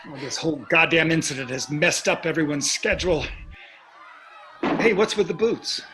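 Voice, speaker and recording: Breezy, high-pitched wealthy husband, acted by a man. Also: lively intonation, moderate pace, background noise, close to an everyday voice. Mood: reassuring, irritated. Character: wealthy, breezy, self-satisfied American husband on a cruise ship. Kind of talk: staged play reading over video call.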